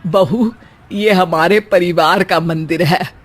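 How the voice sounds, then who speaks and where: A woman voicing a patient, gently chiding, middle-aged village mother-in-law in a comic cartoon story. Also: lowish voice, slow pace, pitched below the performer's own voice. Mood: patient, indulgent. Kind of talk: Hindi cartoon story acting.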